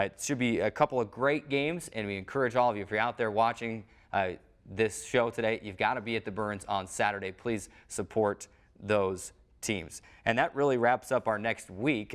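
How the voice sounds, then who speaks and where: A man presenting a sports show as its host. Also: fairly low pitch.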